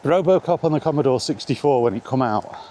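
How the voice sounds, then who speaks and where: A man's voice: medium pitch, expressive, fast talker, mellow. A middle-aged British man chatting casually and informally about his views in a meandering, mildly sweary vlog recorded outdoors. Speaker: video maker chatting about video games. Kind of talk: casual rambling vlog chat. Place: outdoors in the rain, walking. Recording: outdoor ambient noise, faint.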